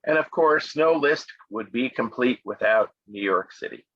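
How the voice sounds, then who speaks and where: An American man, middle-aged, giving a relaxed, friendly talk on travel spots to an online English conversation club over a video call. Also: medium pitch, moderate pace, no echo. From United States. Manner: relaxed and friendly, speaks clearly with fillers and laughs. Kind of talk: casual presentation on travel spots. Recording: dull lo-fi video call audio.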